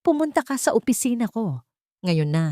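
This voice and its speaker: A woman's voice: on the high side, sing-song, moderate pace, dark tone, dry recording, voice pushed up. Woman voicing male doctor, cold, proud, commanding, curt, audio drama, dry close recording.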